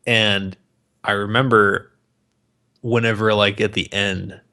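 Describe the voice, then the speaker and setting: A man with a fairly low voice talks casually as a podcast co-host. He is jokey and animated, with plenty of swearing in a loose, conversational delivery. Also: slow.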